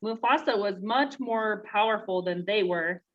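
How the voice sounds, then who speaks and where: A woman's voice: mid-range voice, a little slow, lo-fi sound. A woman reading a story aloud to young children and talking with them about it.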